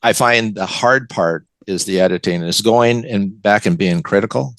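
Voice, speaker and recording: A man's voice: fairly low pitch, normal speed, a bit bright, dry recording. An older American man, a writer from the West Coast, giving enthusiastic, reflective answers as an interview guest. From West Coast of the United States. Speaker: guest, novelist and college teacher.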